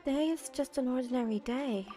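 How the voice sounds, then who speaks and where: A woman's voice: high voice, a little fast, warm tone, faint music behind. A woman reading a player's dialogue lines aloud for a video game.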